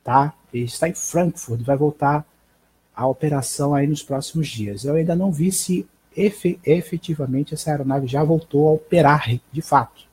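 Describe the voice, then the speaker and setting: Brazilian guy doing a casual livestream news rundown, husky mellow voice, kinda stop-and-start, headset mic. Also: a little high, poor audio. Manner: halting, informal, frequent check tags. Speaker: live stream host. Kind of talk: casual live aviation news roundup. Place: small bedroom at home. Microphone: headset microphone.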